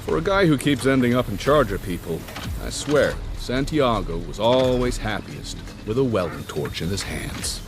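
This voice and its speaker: An actor playing a gruff, folksy middle-aged survivor who spins tall tales in a wry, rambling, colloquial way for a video game. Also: male voice, medium pitch, animated, moderate pace, background noise, close to an everyday voice. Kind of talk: video game story narration in character. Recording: music behind the voice. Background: prominent music.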